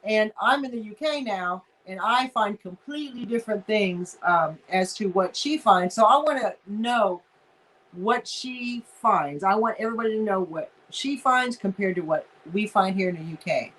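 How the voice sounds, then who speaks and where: A woman's voice: medium pitch, moderate pace, fairly bright tone, low-quality recording. Chatty woman with a slight Texas accent. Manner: chatty, greets viewers, folksy grammar. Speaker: livestream host. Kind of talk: casual live chat.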